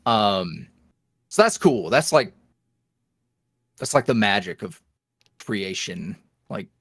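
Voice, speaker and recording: Animated man chatting, very close dry sound. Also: on the low side, slow pace, husky, no echo. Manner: animated, rambling, self-deprecating. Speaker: podcast guest, ASMR roleplay voice creator. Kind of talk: casual interview chat.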